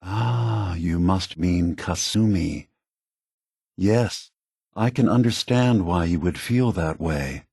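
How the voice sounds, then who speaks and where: An actor playing a gentle, weary old male android leader in a video game, husky and soft-spoken, with a measured, contemplative, earnest manner. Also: low voice, no room sound, studio quality, full character voice. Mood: calm, reassuring. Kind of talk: video game character dialogue.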